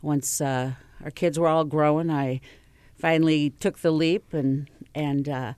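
A woman's voice: low voice, steady tone, unhurried, a little mellow, smooth, no room sound. A middle-aged woman, a community theater actor, chatting as a guest on a radio show and laughing often. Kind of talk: casual radio interview.

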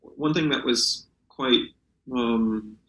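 A man speaking as a literary translator in an unscripted interview, halting and often searching for words. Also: mid-range voice, fairly bright tone, roomy sound, rough recording.

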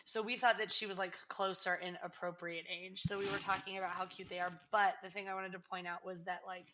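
A woman in her twenties chatting casually as a podcast host, rambling through a TV episode recap with lots of "like" fillers and sudden excited outbursts. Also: mid-range voice, fairly quick, background noise. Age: 20s.

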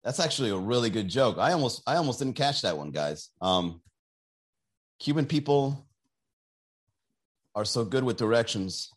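A man's voice: medium pitch, big pitch swings, normal speed, pauses often. A man hosting a casual, profane live stream, joking loosely and chatting with viewers.

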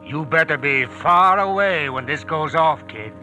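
A man's voice: a little high, measured pace, pushed and bright, faint music behind, poor audio. An actor playing a seasoned, amused criminal bomb-maker in an old radio drama, with teasing, colloquial tough-guy talk. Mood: warning.

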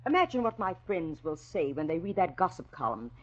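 A lo-fi vintage radio drama recording of a domineering society matron. She is middle-aged, husky and imperious, scolding in grand, swooping tones. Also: on the low side, very animated, fairly quick. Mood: indignant. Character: domineering, sharp-tongued wealthy society matron and mother. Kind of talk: 1940s radio drama acting. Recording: dull, lo-fi old radio recording.

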